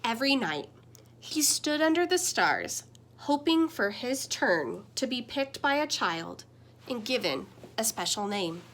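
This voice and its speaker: A young adult woman reading a children's picture book aloud as a teacher, gentle and expressive, with big swings in pitch and loudness. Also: a little slow.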